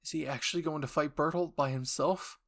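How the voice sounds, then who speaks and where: A man's voice: fairly high pitch, steady tone, a little fast, a bit bright. A man voicing an anguished young soldier in an audio roleplay, pleading desperately with emotional outbursts. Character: anguished young soldier screaming inner pleas for a friend.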